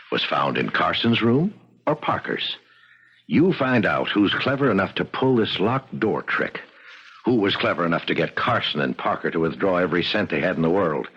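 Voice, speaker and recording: An actor playing a gruff, cocksure, brusque police inspector in a 1970s radio drama. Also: male voice, low voice, big pitch swings, moderate pace, fairly bright tone, highs cut off, full character voice. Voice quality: gravelly. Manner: brusque, emphatic, needling.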